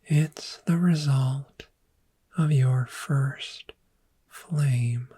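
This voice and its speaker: A woman playing a gentle, patient dragon therapist in a soft-spoken ASMR roleplay, with a breathy, whispery, measured and reassuring delivery. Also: a bass voice, full character voice. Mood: soothing, encouraging.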